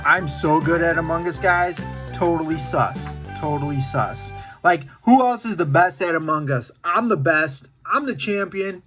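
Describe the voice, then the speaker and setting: A man's voice: fairly high pitch, a little slow, few pauses, sharp tone, faint music behind. A man hosting a children's drawing lesson, talking to kids directly in a playful, encouraging way. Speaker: children's drawing tutorial host.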